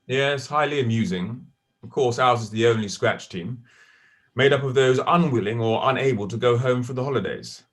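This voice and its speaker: A man gives voice to a well-spoken young man with precise, cutting diction and a cool, superior wit. He sits at a middling pitch for a man. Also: normal speed, fairly bright tone, close to an everyday voice. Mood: dry. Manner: precise, dry, condescending. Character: posh, articulate young man, dry and superior. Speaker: actor in a stage play. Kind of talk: audio drama acting.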